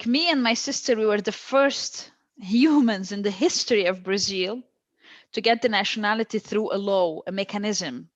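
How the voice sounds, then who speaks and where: A woman's voice: mid-range voice, moderate pace, no echo, muffled recording. Earnest woman. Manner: emphatic, repeats phrases for stress. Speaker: guest, stateless-rights advocate. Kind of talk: earnest interview answers.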